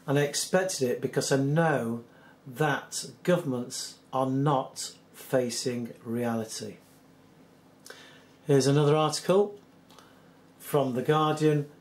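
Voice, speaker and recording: A hoarse British man hosting a solo commentary, reading out news articles in an earnest, skeptical, measured way. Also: medium pitch, a little mellow. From United Kingdom. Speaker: channel host.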